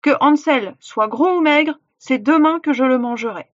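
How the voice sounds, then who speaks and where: A woman's voice: fairly high pitch, expressive, moderate pace, fairly bright tone, dry recording, highs cut off. A woman voicing a wicked, impatient old witch who commands and scolds in a fairy tale. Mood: barking orders. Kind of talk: dramatised fairy-tale storytelling.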